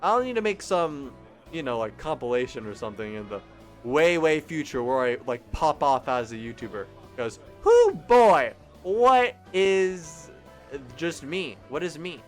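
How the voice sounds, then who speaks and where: Brief: young male streamer, lively swooping pitch, jokey visual-novel read, soft music under. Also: unhurried. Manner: animated, giggly, with joking asides. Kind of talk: casual game narration.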